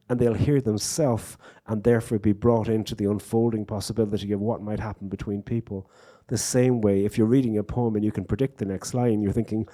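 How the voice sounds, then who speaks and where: Irish man, low warm voice, wry storyteller, reflective, live bookstore stage talk. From Ireland. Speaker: poet and guest speaker. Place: bookstore event stage before an audience.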